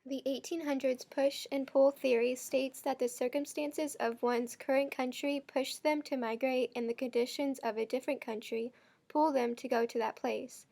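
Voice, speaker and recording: A young woman with a high voice and a gravelly edge reads an explanation from a script. She delivers it as a student documentary narration. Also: darkish tone.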